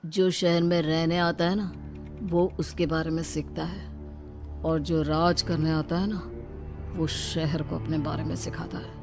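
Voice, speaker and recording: A woman's voice: sits low, little pitch movement, unhurried, over music. A woman voicing a swaggering young daughter-in-law who talks like a film hero in a cartoon. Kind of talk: cartoon story voice acting.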